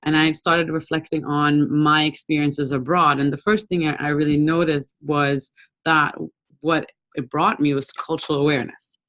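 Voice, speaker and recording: A young woman from Brazil giving an informal webinar talk over a video call, conversational and relaxed with frequent ums. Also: low-pitched, moderate pace. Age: young adult. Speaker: webinar presenter.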